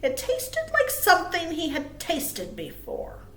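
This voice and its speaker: A woman reading aloud to small children as a storytime host, cheerful and expressive. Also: extremely high pitch, slow pace. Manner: cheerful, expressive, asks listeners questions. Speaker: children's librarian hosting storytime. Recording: roomy, echoey sound.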